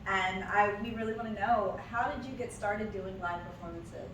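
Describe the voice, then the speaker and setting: Playful interviewer in an echoey room. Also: female voice, mid-range voice, moderate pace. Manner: playful, teasing questions. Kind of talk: casual interview chat. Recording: echoey room, voice from a distance, some noise.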